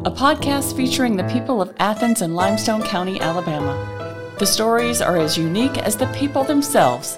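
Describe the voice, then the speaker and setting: Woman host, scripted podcast intro, measured announcer delivery, clear music underneath. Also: medium pitch, expressive. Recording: intro read over music. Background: instrumental music.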